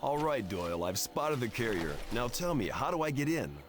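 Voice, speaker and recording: A man's voice: medium pitch, very animated, normal speed, over music, close to an everyday voice. A man voicing a tough, sardonic adventurer on the run in a video game, speaking in terse lines. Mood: wry, determined. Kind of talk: video game cutscene voice acting.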